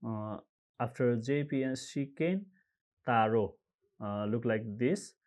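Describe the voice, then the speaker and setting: Slow, halting man guiding a screen walkthrough. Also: medium pitch, a little mellow. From Nepal. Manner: halting, frequent uh and um fillers, reads out codes slowly. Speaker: tutorial presenter. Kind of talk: screen-recorded how-to walkthrough.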